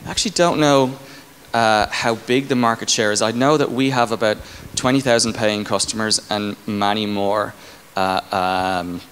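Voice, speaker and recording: Low-voiced man in an echoey hall. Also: fairly even intonation, moderate pace, a bit bright. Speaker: tech company engineering leader being interviewed. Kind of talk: conference Q&A, informal explanation. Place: indoor conference stage. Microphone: handheld microphone. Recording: echoey hall, clear background room noise.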